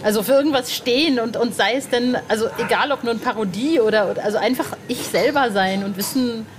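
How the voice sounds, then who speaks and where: A woman with a fairly high voice chats as a podcast interviewer. She is informal and enthusiastic, eagerly agreeing with her guest. Clear ambient noise sits behind her voice. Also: fast talker. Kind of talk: casual chat.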